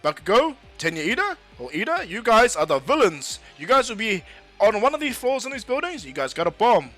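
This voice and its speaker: A man voicing a hearty superhero teacher in an anime story, with grand, excited, drawn-out announcing over music. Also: high-pitched, pitched above the performer's own voice.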